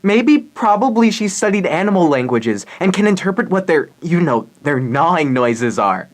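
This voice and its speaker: A woman's voice: fairly low pitch, sing-song, moderate pace. A woman voicing an eager nine-year-old boy who believes in fairy tales, in a live radio theater reading. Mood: earnest, dreamy.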